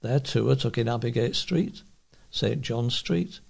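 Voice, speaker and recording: Husky man reading local news. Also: medium pitch, a little slow. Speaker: volunteer newsreader for a local talking newspaper. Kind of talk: read-aloud local news.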